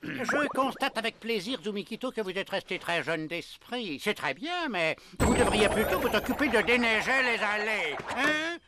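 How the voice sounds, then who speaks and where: Fussy, animated old headmaster. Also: male voice, high-pitched, moderate pace, heavy background noise. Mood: pompous politeness. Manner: pompous, hesitant, wheedling. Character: fussy, penny-pinching ninja school headmaster, older man. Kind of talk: French cartoon dubbing.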